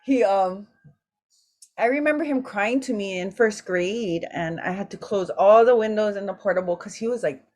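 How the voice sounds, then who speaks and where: Woman, 40s, host, casual, emotional, mid pitch. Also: moderate pace. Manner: warm, emotional storytelling with Hawaiian words mixed in. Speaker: roundtable host and mother. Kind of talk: casual talk story.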